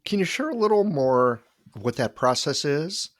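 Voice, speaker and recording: A man hosting a podcast, relaxed, asking follow-up questions and joking lightly with his guest. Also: a little high, normal speed. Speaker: podcast host. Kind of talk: casual interview conversation.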